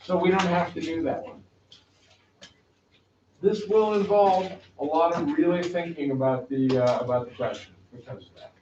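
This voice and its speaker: A man teaching a math class in a loud, direct, brisk way, his voice sitting a little high. The sound is muffled and lo-fi, and the classroom echoes around him with a faint hiss underneath. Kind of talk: classroom instruction.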